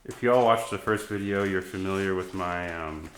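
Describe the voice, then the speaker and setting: A man, a singer-songwriter with a stuffy nose, chatting softly and self-deprecatingly between songs in a home guest room. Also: low-pitched, moderate pace, slight background noise. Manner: rambling, self-deprecating, soft-spoken. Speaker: singer-songwriter performing live. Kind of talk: casual between-song chat. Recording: roomy echo.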